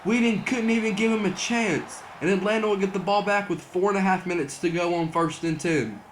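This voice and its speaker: Young guy doing hype play-by-play on a football game, kinda high voice going hoarse, little bit of hiss. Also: fairly quick. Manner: excitable play-by-play, rising to near-shouts on big plays. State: losing his voice. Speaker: gaming channel host doing play-by-play. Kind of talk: mock broadcast sports commentary over gameplay.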